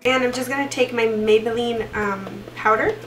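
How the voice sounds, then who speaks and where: A woman's voice: on the high side, fairly even intonation, moderate pace, a bit bright, recorded from a distance, faint music behind. Stuffy young woman rambling through a makeup tutorial. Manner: chatty and rambling, many fillers and restarts. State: stuffy from a cold. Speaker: beauty vlogger. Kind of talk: casual makeup tutorial chat. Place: small room indoors. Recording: roomy echo, voice a bit off-mic.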